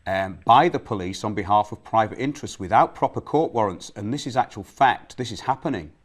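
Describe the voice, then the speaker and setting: Low-voiced male presenter. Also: expressive, fairly quick. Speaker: show presenter. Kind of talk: presenting and interviewing.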